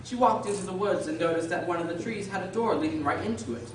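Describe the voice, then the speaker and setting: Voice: mid-range voice, quick pace. Echoey, distant storybook narrator. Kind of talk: scripted narration. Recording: echoey hall, distant mic, clattering noise.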